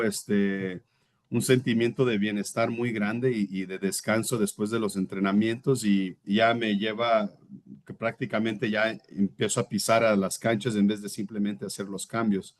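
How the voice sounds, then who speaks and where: Low-voiced Brazilian-born man, earnest. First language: Portuguese. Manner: reflective, earnest, searching for words. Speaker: interview guest. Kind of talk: personal testimony in conversation.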